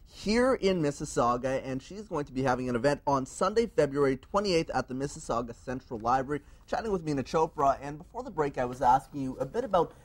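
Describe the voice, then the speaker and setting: Young guy hosting daytime tv, bit husky, rambly friendly questions, studio lapel mic. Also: medium pitch, lively intonation, fairly quick, hardly any pauses. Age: young adult. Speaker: TV show co-host. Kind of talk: daytime TV interview. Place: TV studio set.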